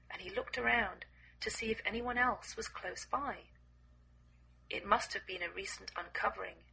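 A hoarse English woman hosting a podcast for English learners, reading a story aloud slowly and clearly. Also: medium pitch, bright, forceful tone, a little hiss or hum, low-quality recording. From England.

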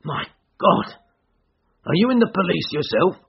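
A man's voice: high voice, very animated, measured pace, gravelly, breathy edge, highs cut off, voice pushed up, full character voice. A man voicing a worn, suspicious, bitter ex-soldier in an audiobook, speaking vehemently and defensively. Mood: alarmed, suspicious. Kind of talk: audiobook character voice.

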